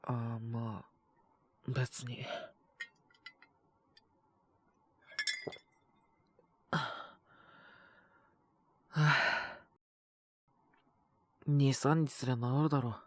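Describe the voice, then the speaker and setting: A man voicing a cocky, possessive young wolf-boy boyfriend with a cold, in an intimate audio roleplay, speaking bluntly and teasingly in a breathy, husky voice. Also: medium pitch, fairly even intonation, extremely slow, pauses often, a bit bright, heavily acted. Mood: gruff, softened. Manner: blunt, rough casual speech, teasing, languid. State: sick with a cold and cough. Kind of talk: Japanese situation-voice roleplay aimed at the listener.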